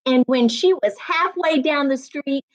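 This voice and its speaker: A woman reading aloud and teaching as a storytime reading coach, with deliberate, emphatic phrasing meant for young listeners. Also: high voice, low-quality recording. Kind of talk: expressive children's read-aloud and teaching.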